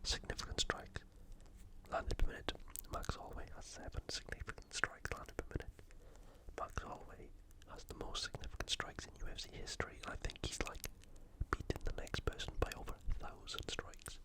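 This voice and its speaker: An ASMR host, speaking entirely in a breathy whisper in a casual, conversational commentary style. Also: brisk, rough recording. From United Kingdom. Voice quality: whispered, unvoiced. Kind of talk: ASMR fight preview commentary.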